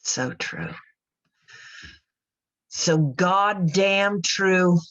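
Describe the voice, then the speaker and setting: An actress playing a world-weary, religious middle-aged mother in an audio drama, plainspoken, measured and folksy. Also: lowish voice, fairly bright tone, muffled recording. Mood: wistful, weary. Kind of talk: naturalistic podcast radio drama acting.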